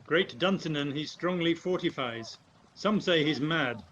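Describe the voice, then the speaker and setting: A man's voice: fairly high pitch, animated, unhurried, a bit bright. An amateur actor playing a resolute nobleman in a Shakespearean radio play, with declamatory delivery. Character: resolute Scottish noble marching against a tyrant. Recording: muffled, noisy video-call audio.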